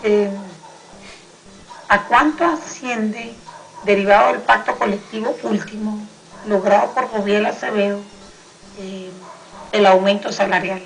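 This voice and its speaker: A hoarse woman, asking questions as the host of an interview. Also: mid-range voice, measured pace, long pauses, a little hiss or hum, poor audio. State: hoarse from sinusitis.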